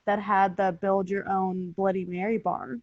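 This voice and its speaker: Woman, medium pitch, casual group chat, slangy and informal, dry dull recording. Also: flat delivery, a little slow, no room sound. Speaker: podcast cast member. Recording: dull lo-fi audio.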